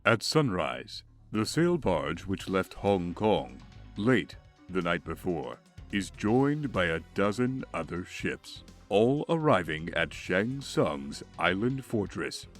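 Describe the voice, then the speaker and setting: A man's voice: sits low, big pitch swings, slow, faint music behind. A man giving dramatic scripted narration for a comic dub.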